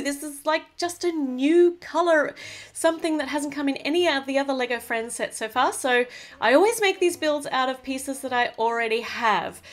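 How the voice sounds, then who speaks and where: A woman hosting a craft channel, chatting cheerfully to viewers as she narrates a toy build. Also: high voice.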